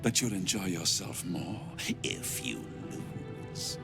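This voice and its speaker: A playful, cruel incubus from a video game, speaks in a low, gravelly voice. He is theatrical and seductive, drawing words out teasingly over music. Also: lively intonation, full character voice. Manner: theatrical, teasing, drawn-out delivery. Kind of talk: video game character acting.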